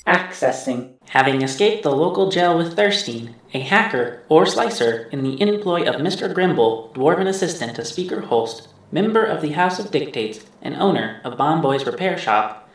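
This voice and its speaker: A man voicing a computer implant that formally recites stored memories in a measured scripted recap. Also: a little high, animated. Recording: heavily echoing processed voice.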